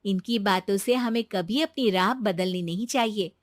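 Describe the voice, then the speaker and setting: A woman's voice: mid-range voice, moderate pace, no echo, studio quality. A woman narrating a scripted moral story as a storyteller.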